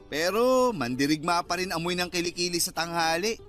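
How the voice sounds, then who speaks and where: A man's voice: high-pitched, animated, normal speed, fairly bright tone, faint music behind. An actor playing a young Filipino man in a radio drama, a teasing best friend who banters in slang and acts mock-offended.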